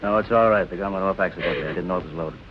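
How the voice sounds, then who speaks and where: An actor playing a cool, commanding boss in 1940s film noir style. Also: male voice, low-pitched, racing, dull audio, lightly acted. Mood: calm, commanding.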